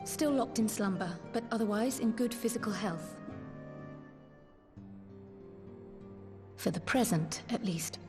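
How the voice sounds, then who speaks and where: Game role: grave female scholar actress, mid-pitched voice, measured archaic phrasing, loyal and resolute, music underneath. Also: close to an everyday voice. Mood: grave concern. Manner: formal, measured, archaic diction. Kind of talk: video game cutscene acting.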